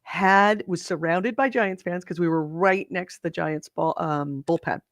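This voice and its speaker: A slightly hoarse woman co-hosting a podcast, chatting in a rambling, self-interrupting way. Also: fairly low pitch, measured pace, no echo, studio quality. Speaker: podcast co-host. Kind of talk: casual chat.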